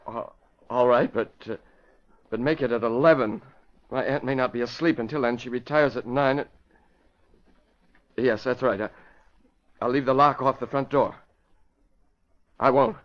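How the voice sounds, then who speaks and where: An actor playing a panicky, weak-nerved man mixed up in crime, halting and flustered, in a 1940s radio drama style. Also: medium pitch, lightly acted. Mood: nervous, reluctant. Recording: muffled vintage radio recording.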